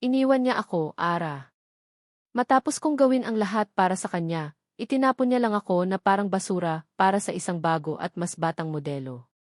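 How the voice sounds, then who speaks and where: A woman's voice: mid-range voice, fairly quick, no echo, natural voice. A vengeful, authoritative woman, acted out by a woman narrator, cold and bitter. She belongs to a romance storybook, recorded close and dry.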